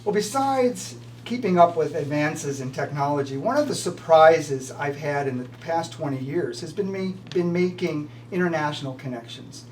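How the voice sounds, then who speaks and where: A man's voice: fairly high pitch, lively intonation, moderate pace, few pauses. An older man, a retiring college professor, giving prepared farewell remarks. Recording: distant mic with slight background noise.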